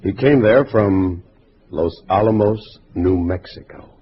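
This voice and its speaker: A man playing a brisk communist cell boss in a 1950s radio drama, giving curt, authoritative orders. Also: lowish voice, over loud music, close to an everyday voice. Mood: commanding. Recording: dull, faintly noisy old radio recording.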